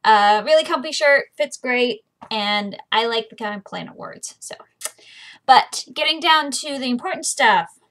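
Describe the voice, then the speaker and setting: A woman with an American accent and a fairly high voice. She hosts a book reading series and speaks in an informal, conversational way, as if talking straight to her viewers. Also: fairly bright tone. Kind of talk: casual chatty vlog, then reading a novel aloud.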